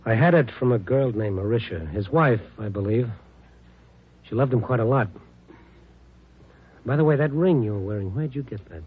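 A man's voice: mid-range voice, expressive, moderate pace, long pauses, husky. Newspaperman, dogged, compassionate, terse, probing, vintage radio drama, dulled sound. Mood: gentle, probing. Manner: terse first-person storytelling and probing questions. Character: dogged, compassionate male Chicago newspaper reporter. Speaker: actor in the lead role and narrator. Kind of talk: 1950s radio drama acting. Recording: muffled old radio recording with music.

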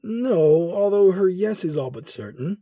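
A man playing a smug, self-assured young dark-side warrior in an audio drama, gravelly and husky, with formal, clipped speech. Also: high voice, animated, a little slow, no echo, clean studio sound, exaggerated voice. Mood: smug, dry.

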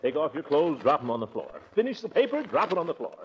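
An actor playing a pompous, flustered middle-aged uncle, blustering and stammering in a 1940s radio comedy. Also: male voice, fairly high pitch, big pitch swings, quick pace. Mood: scolding. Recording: muffled, noisy 1940s radio recording with clicks.

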